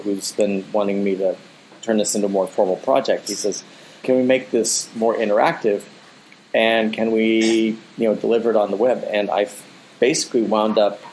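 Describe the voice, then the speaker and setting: A man's voice: fairly low pitch, a little mellow, a little hiss or hum, rough recording. A man with an American accent, a guest artist talking at length in a casual interview, full of restarts and "you know".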